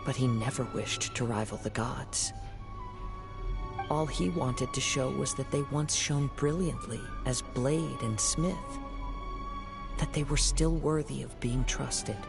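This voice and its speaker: Casting note: a composed, thoughtful young samurai. Mid-range voice, steady delivery over clear game music. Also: male voice, medium pitch. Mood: calm. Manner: measured, composed narration. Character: calm, thoughtful young male wandering samurai. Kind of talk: video game voice acting.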